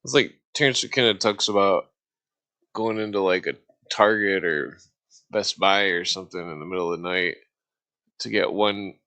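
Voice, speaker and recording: An American man in a casual podcast chat, rambling and halting, often trailing off into digressions. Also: deep voice, bright, forceful tone.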